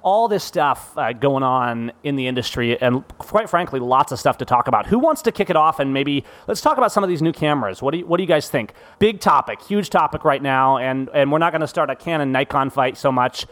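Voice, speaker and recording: A man's voice: mid-range voice, expressive, brisk, hardly any pauses, a bit bright. A man hosting a casual photography panel podcast, upbeat and joking at his own expense. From Central Washington. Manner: upbeat, joking, self-deprecating. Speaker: podcast host. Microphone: studio podcast mic.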